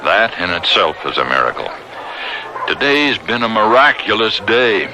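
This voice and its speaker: Brief: actor for doting, wry radio-play dad, middle-aged, expressive, noise behind. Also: male voice, on the low side, normal speed, close to an everyday voice. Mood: wry, warm. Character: caring, gently joking middle-aged father. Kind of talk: radio drama acting. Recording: noisy radio drama scene.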